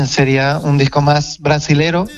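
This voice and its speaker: A man from Portugal with a Portuguese accent, a singer guest in a radio interview, talking in a reflective way with many halting restarts. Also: on the high side, fairly even intonation, dull audio, soft background music.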